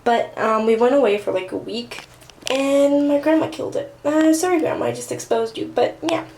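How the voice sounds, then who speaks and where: A woman's voice: sits high, moderate pace, creaky, a little mellow, slight background noise, rough recording. A teenage girl with a slight Armenian Russian accent, chatting casually with lots of fillers while showing viewers around her home. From southern California. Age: teens. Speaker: vlogger. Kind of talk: casual plant tour vlog. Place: apartment room and bathroom, indoors.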